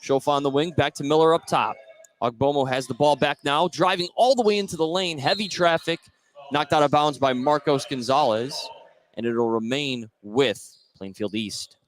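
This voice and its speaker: Male sports radio play-by-play caller, somewhat high-pitched, short running phrases following the action of a basketball game. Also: normal speed. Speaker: play-by-play commentator. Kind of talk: live basketball radio commentary.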